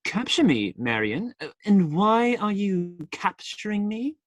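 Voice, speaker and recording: A woman's voice: mid-range voice, big pitch swings, unhurried, no echo. A woman playing a young male outlaw in a radio play, smitten, defiant and idealistic, earnest but faltering when challenged. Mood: confused, apologetic.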